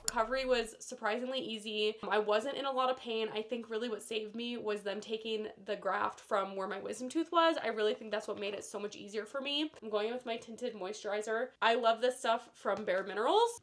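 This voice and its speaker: Slightly nasal, stuffed-up young-sounding woman. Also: a little high. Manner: chatty and rambling, with fillers like "like" and "you know". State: stuffed up from a cold. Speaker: beauty vlogger. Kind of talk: casual chat while doing makeup.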